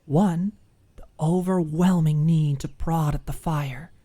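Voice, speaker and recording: A woman reading a scripted story as an audiobook narrator, in an expressive ASMR storytelling style. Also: deep voice, slow.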